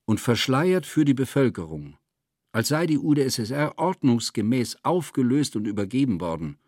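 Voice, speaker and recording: A Soviet insider being interviewed in a radio drama, terse and certain. His pitch moves in lively swings and his voice is slightly husky. The sound is close and dry. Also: normal speed, no room sound. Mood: terse, assured. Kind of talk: radio play interview dialogue.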